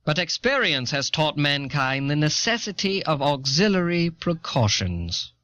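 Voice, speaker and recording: A man playing an earnest eighteenth-century American statesman, reading historical writings with formal, deliberate oratory, recorded on an old cassette. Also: on the high side, sing-song, bright, forceful tone, dry recording, exaggerated voice. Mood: earnest, reasoned. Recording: dull, dry old audio cassette.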